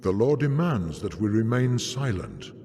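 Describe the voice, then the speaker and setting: Game casting: aloof, wry lawkeeper, extremely varied intonation, dark mellow tone, theatrical, reverberant. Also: male voice, on the low side, sing-song, unhurried. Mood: solemn. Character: detached male arbiter of cosmic law, wry, aloof. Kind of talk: theatrical video game cutscene acting. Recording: long reverberant hall sound.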